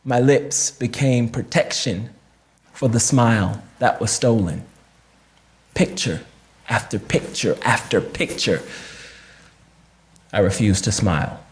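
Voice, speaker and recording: An actor playing a wounded, reflective man recounting a painful childhood memory in a theatrical memoir monologue, with deliberate pauses. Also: mid-range voice, close to an everyday voice. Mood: reflective, sombre. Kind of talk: filmed dramatic monologue reading, first-person memoir.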